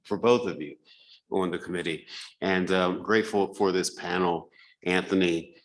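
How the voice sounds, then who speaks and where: A man moderating a panel, taking questions and thanking the speakers in a relaxed way. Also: low-pitched, slight background noise. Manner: relaxed, appreciative, a little halting.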